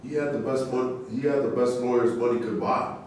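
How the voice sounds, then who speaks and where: A man's voice: medium pitch, fairly even intonation, brisk, a little mellow, close to an everyday voice. Man playing tough street-smart boxer, heavy echo. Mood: tough, aggrieved. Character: street-smart tough male ex-boxing champion from Brooklyn. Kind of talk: staged play reading. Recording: echoey room, far mic, faint noise.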